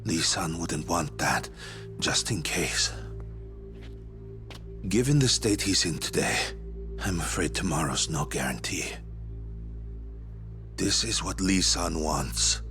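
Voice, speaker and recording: A man's voice: low-pitched, animated, takes it slowly, pauses often, over music. An actor playing a polite, earnest male doctor delivering a grave message in a game dub. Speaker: doctor messenger.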